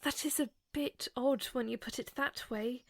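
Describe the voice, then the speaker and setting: A woman voicing a young princess in a fan audio drama, soft-spoken, anxious and full of self-doubt. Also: sits high, fairly even intonation, normal speed, vocal fry, soft background music. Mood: thoughtful. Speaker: voice actor.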